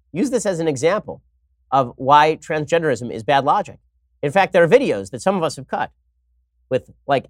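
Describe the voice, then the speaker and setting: A man hosting an opinionated political commentary show, talking in a wry, sarcastic way and moving briskly from segment to segment. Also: fairly high pitch, no echo, clean studio sound. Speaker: host.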